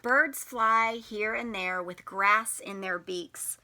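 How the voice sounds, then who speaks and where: A woman teacher reading a picture book to young children in an expressive, sing-song way, putting questions to them. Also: mid-range voice, slow pace. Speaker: teacher and storytime host.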